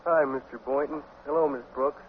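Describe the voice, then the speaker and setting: A male actor plays a slow, bashful teenage boy athlete in a 1950s radio sitcom. He plods through clumsy grammar in a high-pitched voice. The recording is narrow and lightly noisy. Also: steady tone, natural voice. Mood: glum. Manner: dim, plodding, ungrammatical.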